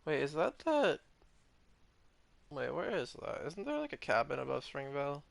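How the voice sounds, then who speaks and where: Very gravelly young man, slangy and casual. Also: on the high side, big pitch swings, normal speed, dry recording. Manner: slangy, sweary, blunt. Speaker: game streamer. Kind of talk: casual gameplay reaction.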